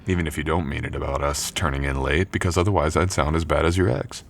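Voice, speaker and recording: A very low-voiced man acting as a college jock, young, protective and tender. He talks in an intimate boyfriend ASMR style, softly teasing and reassuring, with noticeable noise behind the voice. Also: steady tone, fast. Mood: teasing, affectionate. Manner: soft, teasing, gently reassuring. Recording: clearly audible background noise.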